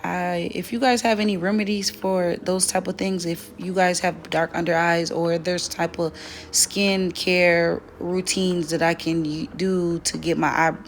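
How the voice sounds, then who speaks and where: A young woman with a slightly raspy, dry voice, chatting casually through a makeup tutorial. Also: fairly low pitch, unhurried, a little hiss or hum. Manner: relaxed, chatty, self-correcting. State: voice dry from weather. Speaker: beauty vlogger.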